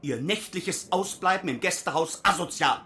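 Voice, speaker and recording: An actor playing a jealous, strict boss in a radio drama, delivering an agitated official reprimand. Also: male voice, sits high, normal speed, gravelly, bright, forceful tone, heavily acted. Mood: angry, officious. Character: jealous, strict male East German delegation boss.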